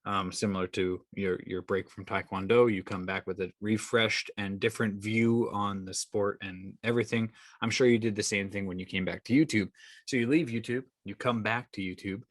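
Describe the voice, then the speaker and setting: A man's voice: on the low side, steady tone, moderate pace, no echo, clean studio sound. A man hosting a podcast interview, asking long rambling questions full of restarts. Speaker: podcast host. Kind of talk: conversational interview.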